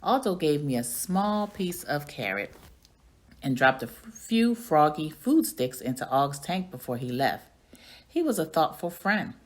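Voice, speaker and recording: A woman reading a children's story aloud in an expressive storytelling manner. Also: low-pitched, a little slow. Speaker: reading coach or teacher reading aloud.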